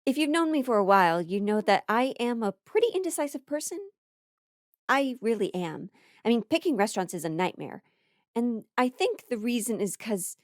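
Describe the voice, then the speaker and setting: A woman's voice: fairly high pitch, no echo, clean studio sound. An American woman telling a casual comedic stand-up story, playful and theatrical, stretching words for emphasis and dropping mock-serious asides.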